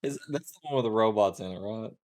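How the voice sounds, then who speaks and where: A man's voice: fairly low pitch, quick pace, darkish tone. A middle-aged man hosting a casual chat, asking questions in a joking way. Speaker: talk show host.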